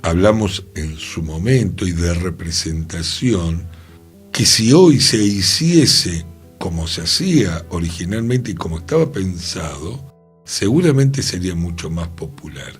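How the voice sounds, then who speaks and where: A man with a Rioplatense accent co-hosting a cultural radio discussion, speaking deliberately and repeating words for emphasis. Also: low-pitched, lively intonation, slightly rough, faint music behind. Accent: Rioplatense Spanish. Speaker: radio show co-host.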